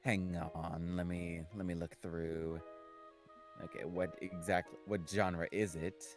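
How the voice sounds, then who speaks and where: A man's voice: sits low, flat delivery, takes it slowly, soft background music. A man chatting casually as a guest co-host on a livestream.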